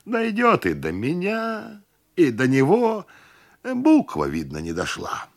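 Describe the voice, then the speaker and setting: Man acting an exasperated, high-pitched, blustering householder. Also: very animated, no room sound, clean studio sound, exaggerated voice. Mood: sarcastic, urgent. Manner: ranting, melodramatic, drawn-out exclamations.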